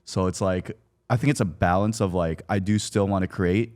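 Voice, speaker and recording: A man talking casually and reflectively about personal things, in a halting way peppered with "like". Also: sits low, steady tone, a little mellow. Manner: halting, reflective, heavy use of "like".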